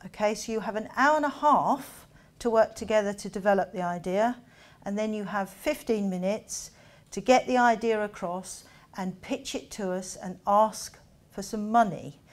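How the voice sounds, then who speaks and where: A middle-aged woman judge welcoming and briefing contestants in a brisk, encouraging way. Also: medium pitch. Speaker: competition judge. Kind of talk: addressing contestants.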